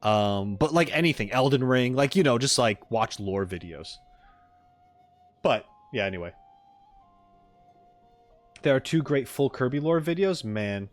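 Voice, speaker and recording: A man's voice: medium pitch, expressive, a little slow, long pauses, soft background music. A man giving casual, joking reaction commentary as a streamer, informal and playfully indignant.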